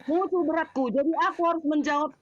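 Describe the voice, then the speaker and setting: A woman's voice: sits high, fast, highs cut off, a crowd in the background. A woman in a heated religious debate, insisting on her answer and repeating it as she is challenged. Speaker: debate participant.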